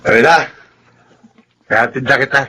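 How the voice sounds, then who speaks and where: A man's voice: mid-range voice, moderate pace, sharp tone, faint music behind. A man with a Filipino accent acting a jealous, taunting suitor who turns menacing in a radio drama. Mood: smug. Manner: teasing, taunting, theatrical. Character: jealous, cocky, menacing male suitor turned violent rival.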